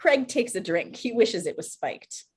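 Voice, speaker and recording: A woman's voice: a little high, sing-song, unhurried, breathy edge. A woman reading scripted stage directions as narrator at a table read over video call.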